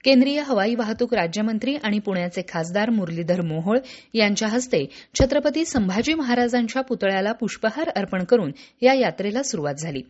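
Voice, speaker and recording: A woman's voice: mid-range voice, expressive, brisk. A woman reading scripted news as a newsreader. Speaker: news reader. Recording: dull lo-fi radio audio.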